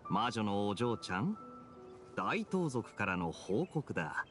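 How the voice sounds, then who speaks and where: A man playing a suave, self-assured young swordsman in an anime game, with a smooth, nonchalant way of talking. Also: on the low side, very animated, slow pace, a bit bright, faint music behind, heavily acted. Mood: teasing. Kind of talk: Japanese anime game voice acting.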